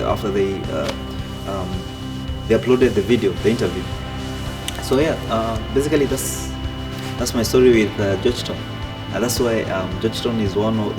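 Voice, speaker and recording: A man, telling his own story in a relaxed way with many fillers and restarts. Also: lowish voice. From Kenya. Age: 30s. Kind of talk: casual personal storytelling. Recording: distant mic with music behind.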